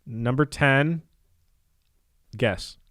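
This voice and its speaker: A man's voice: mid-range voice, very slow, no echo. Young man, dry close-mic podcast chat. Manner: relaxed, laughs often, reads out lists with asides. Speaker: podcast co-host. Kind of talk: casual chat.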